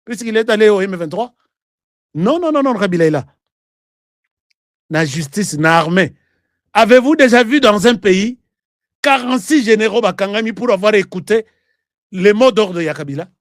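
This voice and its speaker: High-voiced Congolese man, argumentative political commentator. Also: normal speed, long pauses, dry recording, clean studio sound. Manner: animated, argumentative, rhetorical questions and repetition. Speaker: online political show host. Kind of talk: live political commentary to viewers, mixing French and Lingala.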